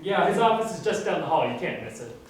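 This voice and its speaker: A woman's voice: on the low side, very animated, fast, a little mellow. A woman playing a laconic, casual asylum staffer in a stage musical, with a dry delivery. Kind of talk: live stage musical acting. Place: staged reading before a live audience. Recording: echoey hall, distant mic, clear noise.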